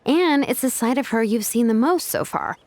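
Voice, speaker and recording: A woman's voice: on the high side, expressive, a little mellow, close to an everyday voice. Woman voicing a cheeky, bantering young woman. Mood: teasing. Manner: bantering, quick to protest. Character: lively, cheeky young woman, a longtime companion. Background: game music.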